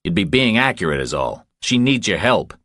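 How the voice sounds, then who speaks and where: A man reading as Jack Reacher, deep-voiced, insistent ex-military cop. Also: very animated, moderate pace, a bit bright, dry recording, clean studio sound. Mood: urgent, insistent. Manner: terse, urgent, insistent. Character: Jack Reacher, blunt insistent male former military policeman.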